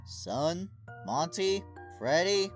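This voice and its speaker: A curious questioner played by a woman in a cartoon comic dub, asking in a slightly low, slow voice. The delivery has lively swings of pitch, with music behind it. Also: heavily acted. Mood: probing.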